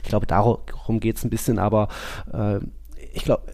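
A man with a gravelly voice, co-hosting a casual football podcast and thinking aloud in a rambling way. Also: on the low side, level tone, moderate pace, mellow. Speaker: podcast co-host.

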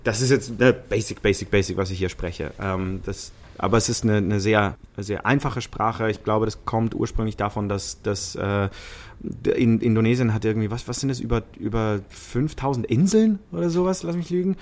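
Man, lower voice, talkative podcast guest, musician. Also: moderate pace, hardly any pauses. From Switzerland. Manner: lively, talkative, laughs easily, many fillers. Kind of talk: casual chat.

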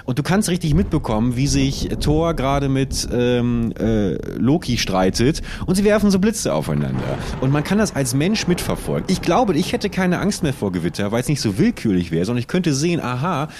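A man with an average-pitched voice. He co-hosts a casual comedy podcast. He tells his stories in colloquial language, with animated delivery. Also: moderate pace, few pauses, background noise. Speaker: podcast co-host.

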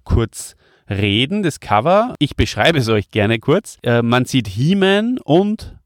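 A man's voice: medium pitch, big pitch swings, slow pace, dry recording, clean studio sound. A man hosting a podcast, presenting in a casual, conversational way and addressing his listeners. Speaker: podcast host.

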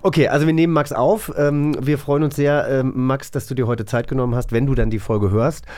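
A man's voice: medium pitch, steady tone, brisk, darkish tone, no room sound. A man co-hosting a podcast, chatting casually in a studio. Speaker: podcast co-host. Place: dry studio.

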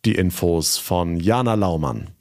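A man's voice: low-pitched, unhurried. A man hosting a regional news podcast, presenting stories and chatting with reporters in a friendly, conversational way.

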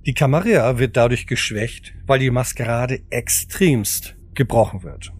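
Music plays behind a man as he narrates an audio drama. He reads from a script in a mid-pitched voice, telling the story as its narrator. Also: unhurried. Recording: voice over background music.

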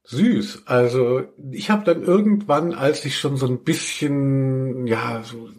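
A man's voice: mid-range voice, slow, darkish tone. Ironic man chatting casually. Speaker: podcast host. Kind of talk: casual podcast chat.

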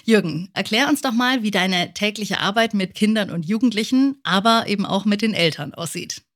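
A woman's voice: mid-range voice, expressive, moderate pace, a bit bright. A woman presenting and interviewing as a podcast host.

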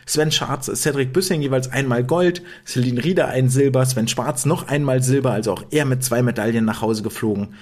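Guy chatting on a swim podcast, mid pitch, lots of ähs and restarts. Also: fairly quick, hardly any pauses, darkish tone. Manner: chatty, many fillers and restarts. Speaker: podcast host. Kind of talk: casual podcast commentary.

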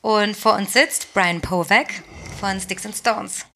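A woman's voice: mid-range voice, takes it slowly, bright, forceful tone, a little hiss or hum. Woman, podcast host, conversational interview delivery.

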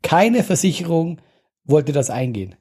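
Husky, slightly stuffy podcast man. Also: fairly high pitch, big pitch swings, moderate pace, a little mellow. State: slightly congested from a cold. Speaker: podcast co-host. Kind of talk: casual chat.

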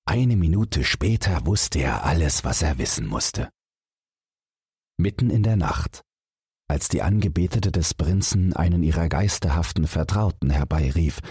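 A man narrating a bedtime fairy tale as an audiobook reader, in a measured, dramatic storytelling manner. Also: deep voice, a little mellow, husky, dry recording, clean studio sound.

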